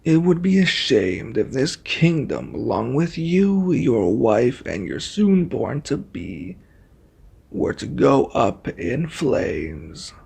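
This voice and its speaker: Man voicing a husky, drawling, menacing villain. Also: medium pitch, big pitch swings, takes it slowly, darkish tone, exaggerated voice. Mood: coldly threatening. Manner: taunting, threatening, drawling. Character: arrogant, menacing male enemy summoned as reluctant ally. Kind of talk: ASMR roleplay acting.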